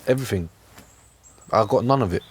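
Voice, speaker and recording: Low-voiced man chatting candidly. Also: brisk, husky. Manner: emphatic, repeats phrases, tags with "right". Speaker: interviewee. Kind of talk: casual, candid conversation. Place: outdoors on a park bench.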